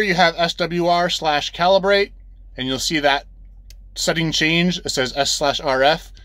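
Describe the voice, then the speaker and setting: A man's voice: a little high, pushed and bright, dry recording. A man explaining things in a casual, plain-spoken, hands-on way, repeating his instructions step by step like a trucker showing a beginner the ropes. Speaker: trucker giving a tutorial. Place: inside a truck cab.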